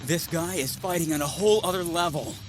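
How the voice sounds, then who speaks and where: A man's voice: on the high side, fairly quick, music in the background, natural voice. A man voicing a serious young ninja turtle leader who speaks earnestly and with command.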